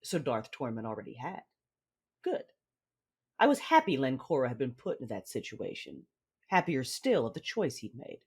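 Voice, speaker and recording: An actress playing a cold, commanding Sith mother who narrates her own story in a measured, menacing, controlled manner. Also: extremely low pitch, big pitch swings, darkish tone, breathy edge, exaggerated voice. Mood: calculating, controlled. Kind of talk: first-person audio drama narration with dialogue. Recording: music under the voice.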